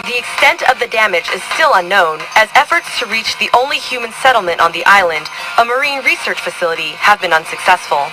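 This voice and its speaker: A woman playing a measured news anchor. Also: mid-range voice, animated, over music, natural voice, low-quality recording. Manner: measured, formal delivery. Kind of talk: news report.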